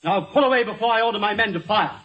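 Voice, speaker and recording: High-voiced, haughty naval commander. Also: male voice, rapid-fire, fairly bright tone. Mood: defiant. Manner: imperious, indignant. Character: haughty British naval commander who bullies the weak. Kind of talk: old-time radio drama acting. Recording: muffled vintage radio recording with music.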